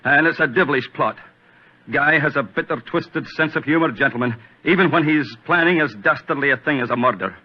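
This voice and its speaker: An actor playing an agitated, self-important gentleman, fearful and obsessed, with a dramatic, overwrought delivery in 1940s radio drama style. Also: fairly high pitch, a little fast, natural voice. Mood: frantic. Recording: muffled old radio recording.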